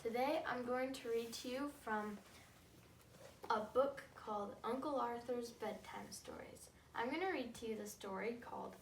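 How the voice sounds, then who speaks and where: High-voiced woman reading a children's story. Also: moderate pace. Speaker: children's story reader and host. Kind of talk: read-aloud bedtime story for children. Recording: faint background noise.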